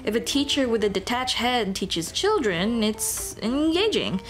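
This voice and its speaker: A woman's voice: a little high, sing-song, unhurried. Woman acting a shy, earnest monster girl, music behind. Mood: self-conscious. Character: shy, earnest female Dullahan teacher, headless monster girl on a date. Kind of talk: ASMR roleplay acting.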